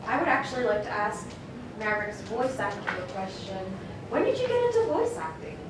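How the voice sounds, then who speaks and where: A woman's voice: a little high, wildly swooping pitch, a little fast. A woman speaking casually at a convention panel. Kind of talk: casual chat. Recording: echoey noisy hall, far from mic.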